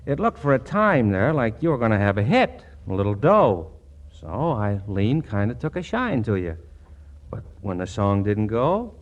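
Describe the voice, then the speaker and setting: An actor playing a brash, slangy American songwriter pal in a 1940s radio comedy. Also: male voice, on the low side. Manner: slangy, pushy, chummy. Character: brash, fast-talking city songwriter pal, a cheerful hustler. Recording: vintage 1940s radio broadcast.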